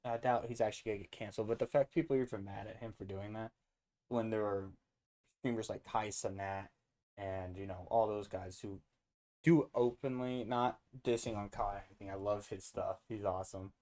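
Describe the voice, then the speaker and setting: A man's voice: fairly low pitch, fairly even intonation, brisk, dark tone. A man hosting a casual reaction video, rambling and interrupting himself as he goes off on tangents.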